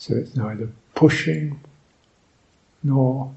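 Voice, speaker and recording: A man guiding a meditation as a Buddhist teacher, speaking reflectively in short, halting phrases with long pauses. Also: medium pitch, vocal fry, a little mellow, highs cut off. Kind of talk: guided meditation, unscripted and reflective.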